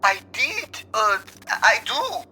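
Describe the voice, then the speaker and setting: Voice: medium pitch, takes it slowly, a little hiss or hum, exaggerated voice. An actor playing a fawning, deferential henchman to a villain in a comedy radio play. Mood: fawning, meek. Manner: obsequious, flattering.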